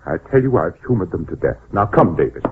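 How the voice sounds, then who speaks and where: A man's voice: low-pitched, big pitch swings, fast. An actor playing a stern, anguished widowed father in old-time radio drama, with an insistent, theatrical delivery. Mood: firm, coaxing. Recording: tinny, noisy old radio recording.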